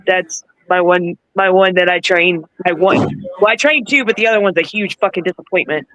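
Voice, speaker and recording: A woman's voice: lowish voice, brisk, fairly bright tone, dry recording, muffled recording. A woman in her forties chatting casually as a podcast guest, blunt and foul-mouthed. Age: 40s. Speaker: podcast guest, wrestler and trainer. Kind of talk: casual chat.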